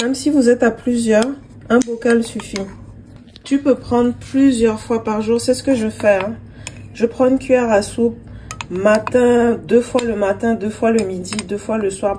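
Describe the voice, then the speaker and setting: A woman vlogger with a dark, mellow voice talks the viewer through a homemade remedy. Her tone is easy and chatty, like a friend showing you how she does it. A faint hiss sits under her voice. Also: fairly high pitch, steady tone, unhurried. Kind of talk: casual how-to narration to camera.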